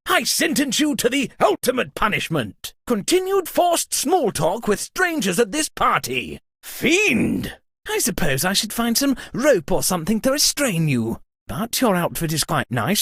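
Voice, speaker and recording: A fussy, upper-crust party host from a video game, full of pompous theatrical outrage. The pitch leaps wildly from phrase to phrase, and the voice has a husky grain, recorded very dry. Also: female voice, fairly bright tone, dry recording, exaggerated voice. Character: fussy, dramatic upper-class party host, easily scandalized. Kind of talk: theatrical scripted game voice lines.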